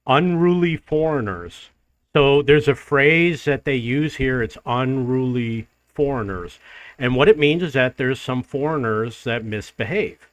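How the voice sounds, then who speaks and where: An older man, host of his own travel channel, telling a rambling personal story to the camera in a loud voice. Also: medium pitch, a little slow, no room sound. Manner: rambling, restarts and loops back in the story. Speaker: vlogger living abroad.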